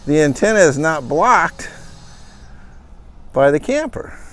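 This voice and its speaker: A man's voice: on the high side, very animated. A man casually walking through how he fitted gear into his pickup and camper, talking plainly with lots of fillers. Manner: plain, unhurried, homespun phrasing with fillers. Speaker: vlogger showing his truck camper. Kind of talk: casual show-and-tell walkthrough. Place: in and around a pickup truck.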